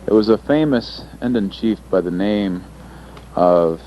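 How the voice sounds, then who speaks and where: A man with a fairly low voice speaks thoughtfully, pausing often with small fillers as he presents. The recording is dull and lo-fi, with a faint hum of room ambience underneath. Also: animated. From United States. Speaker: interpreter presenter.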